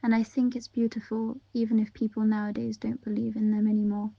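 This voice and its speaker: A woman reading interview answers aloud in the first person, in an even, gentle and unhurried way. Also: a little high, vocal fry, dry recording, muffled recording.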